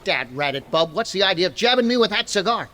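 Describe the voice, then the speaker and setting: An actor playing a gullible, quick-tempered husband in 1940s radio comedy style, with folksy, blustery slang. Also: male voice, sits high, big pitch swings, very noisy. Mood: indignant.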